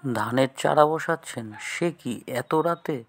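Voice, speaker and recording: A man's voice: fairly high pitch, a little slow. A man playing a kindly, polite young landlord in a cartoon story, speaking earnestly. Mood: surprised, concerned. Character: kindly young landlord gentleman in disguise, concerned and helpful.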